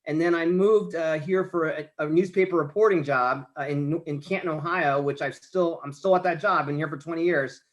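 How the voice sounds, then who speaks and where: A man's voice: a little high, fairly even intonation, moderate pace, hardly any pauses, muffled recording. A man in his late forties from the United States, a podcast guest talking about marathons, rambling and restarting his sentences often. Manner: rambling, many restarts and stammers. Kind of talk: casual conversation.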